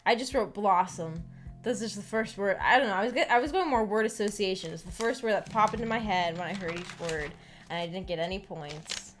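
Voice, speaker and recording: A woman with an American accent chatting casually to viewers as a book club streamer, in a giggly, halting, tentative manner. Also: medium pitch, a bit bright. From United States. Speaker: streamer hosting a visual novel book club. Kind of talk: casual chat, discussing a story with viewers. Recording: voice over background music. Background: background music.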